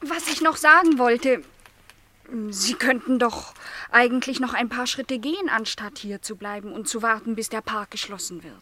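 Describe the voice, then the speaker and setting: High-voiced, earnest young housemaid. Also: female voice, moderate pace, bright, forceful tone, slight background noise. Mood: gently insistent. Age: young adult. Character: young lonely housemaid, earnest, shy, gently insistent. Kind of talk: literary radio play dialogue.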